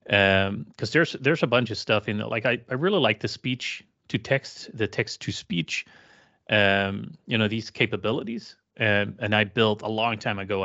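A man's voice: fairly low pitch, pushed and bright, lo-fi sound. A man co-hosting a tech podcast, talking casually and hesitantly with lots of restarts. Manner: hesitant, many fillers and restarts. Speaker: podcast co-host.

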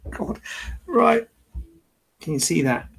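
A gravelly-voiced man with a husky edge hosts a casual live-streamed Q&A as a plastic surgeon. He chats and jokes at his own expense, then explains medical advice in plain, everyday terms. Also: high-pitched, expressive, slow pace, low-quality recording. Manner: chatty, joking, self-deprecating asides.

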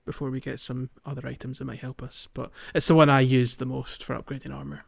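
A man's voice: medium pitch, little pitch movement, fast, creaky, dull audio. A man hosting a casual gaming podcast, talking in an informal, conversational way. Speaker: podcast host. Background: music.

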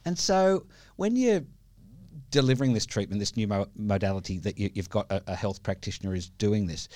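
A man's voice: lowish voice, darkish tone, dry recording, clean studio sound. A man hosting a podcast, asking questions and rephrasing his guest's points. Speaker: podcast host. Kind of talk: podcast interview.

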